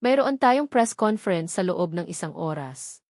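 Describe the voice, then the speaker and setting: A woman's voice: on the low side, lively intonation, moderate pace, darkish tone, no room sound. Icy, impatient male tycoon, read by a woman, curt and domineering, audiobook romance drama, dry close sound. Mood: icy, controlled. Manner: curt, commanding. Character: cold, commanding billionaire man, controlling and impatient.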